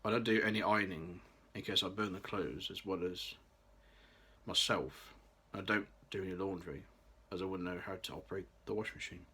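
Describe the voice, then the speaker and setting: Low-voiced young man, bright tone. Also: fairly quick, husky. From Birmingham, England. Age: late 20s. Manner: careful, read-aloud delivery with occasional restarts. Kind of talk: scripted personal vlog.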